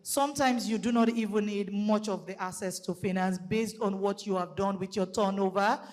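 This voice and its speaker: A loud-voiced Nigerian woman gives a methodical presentation at a conference, laying out each point with an occasional filler. Her voice rings through a hall with a long reverb tail behind it. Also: mid-range voice, moderate pace. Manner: explanatory, methodical, with light fillers. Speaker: conference speaker. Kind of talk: public presentation.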